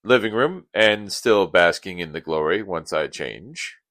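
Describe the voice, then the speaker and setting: Radio comedy: sardonic, skeptical best pal, male actor, wisecracking, animated intonation, dry studio sound. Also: low-pitched, a little slow, no room sound, exaggerated voice. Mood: playful. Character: sardonic, laid-back man, skeptical best friend. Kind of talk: scripted radio play comedy dialogue.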